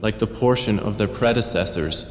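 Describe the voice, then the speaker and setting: A man reading a scripture translation in a slow, solemn, even voice. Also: on the low side. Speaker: translation reader. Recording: echoey, long hall reverb.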